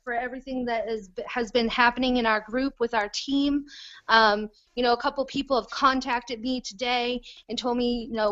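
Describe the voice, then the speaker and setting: Excited, caffeinated woman on a phone call. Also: fairly high pitch. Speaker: guest, team leader. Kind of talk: casual chat on a video call. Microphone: iPhone. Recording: dull lo-fi phone audio on a call.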